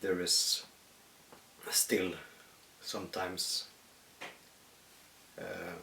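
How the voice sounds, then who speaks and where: A Swedish man with a deep, rough voice muses aloud in English, stopping often to find his words. The mic sits a short way off, so the room echoes around him. A faint hiss runs underneath. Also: monotone, bright, forceful tone, distant mic. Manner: halting, thoughtful, many pauses. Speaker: musician. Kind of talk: reflective solo monologue. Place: at home in the countryside.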